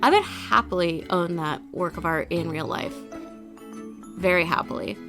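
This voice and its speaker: A young woman speaks with a stuffy, nasal sound brought on by allergies. Her talk is loose and rambling, full of little fillers. Clear music plays underneath her voice. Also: lowish voice, moderate pace. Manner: chatty and rambling, frequent "like". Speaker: video creator. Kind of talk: casual commentary over a building video. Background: background music.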